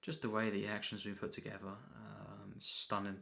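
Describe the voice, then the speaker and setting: A man giving an unscripted, rambling film review as a reviewer, in a halting, repetitive way full of filler phrases. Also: on the low side, monotone, gravelly, pushed and bright.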